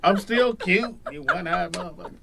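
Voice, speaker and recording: A man's voice: sits high, moderate pace, gravelly, background noise. A male talk show host, teasing his guests in casual banter and laughing a lot.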